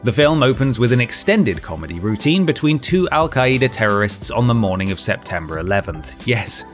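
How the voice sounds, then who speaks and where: A man's voice: medium pitch, very animated, fairly quick. A man reading scripted narration for a ranked list, with a wry, dry sense of humour. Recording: music behind the voice. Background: background music.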